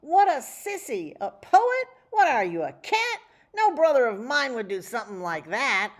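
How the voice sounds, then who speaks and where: Woman putting on a rowdy, high-pitched bully mutt. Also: very animated, unhurried. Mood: mocking. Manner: exaggerated, jeering and pleading. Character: two rotten bullying street-dog brothers, mocking, rough, wheedling.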